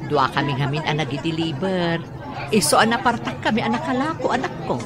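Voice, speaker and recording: A woman's voice: lowish voice, a little fast, a loud crowd, close to an everyday voice. An actress with an Ilocano accent playing an affectionate, hardworking village mother who sells fish, in a radio drama.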